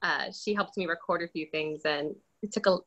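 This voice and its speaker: A woman in her thirties chatting on a video call from a bright room at home, self-deprecating and quick to laugh. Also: lowish voice. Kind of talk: casual video call chat. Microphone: laptop webcam on video call. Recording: dull lo-fi call audio.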